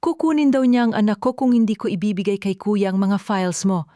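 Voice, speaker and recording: A woman's voice: mid-range voice, a little fast, warm tone, no echo, clean studio sound, lightly acted. A woman voicing a frightened young mother who cries and begs for mercy. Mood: tearful pleading. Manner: desperate, begging. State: tearful. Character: young mother, crying, pleading in fear.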